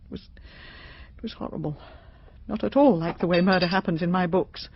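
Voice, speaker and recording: An actress playing a shaken middle-aged British mystery novelist in a radio drama, talkative, hesitant and confiding. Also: female voice, on the low side, fairly quick, husky. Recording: slightly dull, dry radio recording.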